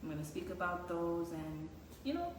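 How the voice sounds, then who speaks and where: A woman chats casually and personally to her viewers as a video host, in a halting, hesitant way with many pauses. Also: lowish voice, very animated, noisy background. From Jamaica. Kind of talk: casual personal chat to camera. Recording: very echoey room, distant mic, loud music.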